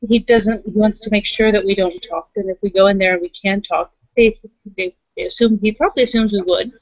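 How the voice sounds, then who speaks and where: A woman's voice: medium pitch, fairly even intonation, fairly quick, dry recording. A woman playing in a tabletop roleplay, chatting casually in character, on dull, lo-fi audio.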